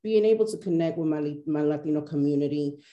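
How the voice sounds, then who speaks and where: Low, mellow-voiced woman, earnest and halting, webcam in an echoey office. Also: muffled recording. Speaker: city official and panelist. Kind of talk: panel discussion on a video call. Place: small office room. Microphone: video call webcam.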